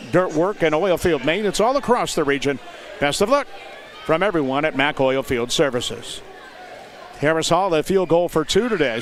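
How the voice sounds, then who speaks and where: An American man doing live play-by-play commentary of high school basketball in clipped, descriptive phrases. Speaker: play-by-play announcer. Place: indoor high school gymnasium. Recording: noisy gym with loud crowd. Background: crowd noise and bouncing basketballs.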